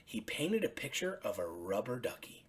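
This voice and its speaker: A woman storyteller reading a children's bedtime story expressively, in simple, deliberate phrases. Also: rumbling low, very animated, husky.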